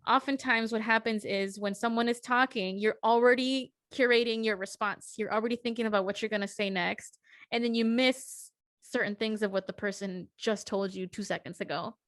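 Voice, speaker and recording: A woman's voice: medium pitch, fairly bright tone, dry recording. A young woman co-hosts a casual podcast in an earnest, reassuring tone, circling her point with fillers. Her voice sits mid-range and sounds dry and close to the mic. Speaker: podcast co-host. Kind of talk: casual chat.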